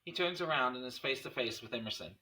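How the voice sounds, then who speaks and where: A man's voice: medium pitch, expressive, quick pace, sharp tone, low-quality recording. A man reading scene headings and stage directions as narrator at a script table read.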